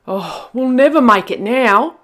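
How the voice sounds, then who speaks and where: Woman doing a young male dingo pup, gloomy, loud, complaining, impatient, animated pitch, storybook character. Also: a little slow. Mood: despairing.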